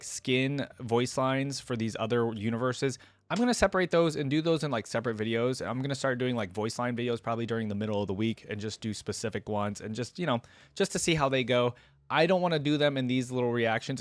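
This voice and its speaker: A man's voice: medium pitch, fast, no room sound. A man hosting a reaction video, commenting casually and musing aloud with questions for viewers.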